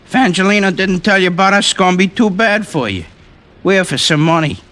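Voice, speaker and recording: High-pitched, menacing racketeer. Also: male voice, lively intonation, quick pace, bright, forceful tone. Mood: threatening. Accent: American. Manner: tough, curt, threatening. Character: menacing male protection-racket thug, the boss of two. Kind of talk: 1950s American radio crime acting.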